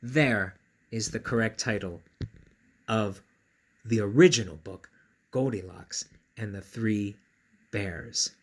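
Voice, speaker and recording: A man reading a picture book aloud to children in a playful, expressive way, with chatty asides to his young listeners. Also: on the low side, slow, long pauses.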